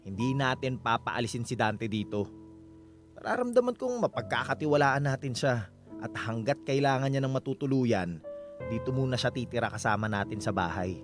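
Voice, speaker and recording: Actor doing a stubborn trusting filipino husband in a radio drama, really animated delivery, bit of music under it. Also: male voice, medium pitch, moderate pace, lightly acted. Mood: firm, resolute. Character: trusting, stubborn husband Emil, calmly firm.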